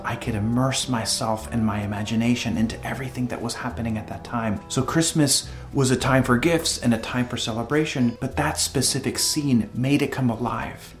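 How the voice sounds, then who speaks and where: A man speaks in an earnest, reverent and unhurried way as he hosts devotional videos, shifting between personal storytelling and reading aloud. His voice sits at an ordinary middle pitch. Soft music plays faintly behind him. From El Salvador.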